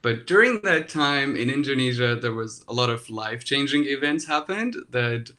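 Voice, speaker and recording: Laughing, expressive man from Iran. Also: mid-range voice, moderate pace. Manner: laughs often, expressive and energetic. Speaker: product designer, interview guest. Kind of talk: casual video-call interview. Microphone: video call.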